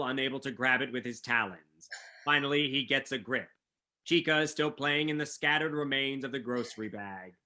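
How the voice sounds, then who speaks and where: A man reads scripted stage directions as narrator. His voice is mid-pitched, with a crisp, bright edge.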